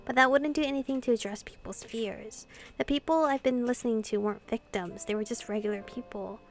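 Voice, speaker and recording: A woman doing an informal, expressive playthrough of a visual novel, reading and commenting as host. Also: fairly high pitch, a little fast. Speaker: gaming video host.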